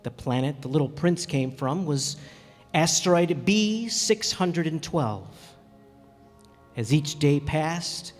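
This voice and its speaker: A man's voice: a little high, lively intonation, takes it slowly. A man playing a stranded pilot who narrates and talks in a radio drama, reflective but easily flustered. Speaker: narrator and character. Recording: long hall reverb, music behind.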